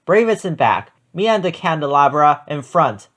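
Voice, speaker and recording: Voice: medium pitch, big pitch swings, normal speed, a bit bright. A storybook reader voicing a nervous, eager little goat. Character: Bumsy, jumpy, nervous young male storybook goat.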